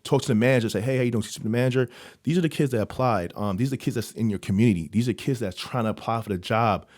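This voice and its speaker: A man born in Jamaica who mentors youth, talking in a casual interview with lots of quick restarts and repeated words. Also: medium pitch, a little mellow, no room sound, clean studio sound.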